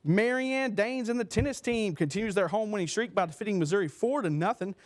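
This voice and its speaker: A man's voice: sits high, brisk. A young man reading a scripted sports newscast as a TV anchor. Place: small TV news studio. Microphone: lapel mic.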